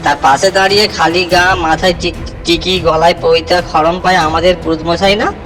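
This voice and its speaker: A man's voice: fairly high pitch, steady tone, moderate pace, fairly bright tone, faint music behind, lightly acted. A man playing a frightened village fisherman who recounts a ghostly night in a suspenseful horror audio story. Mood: fearful, suspenseful.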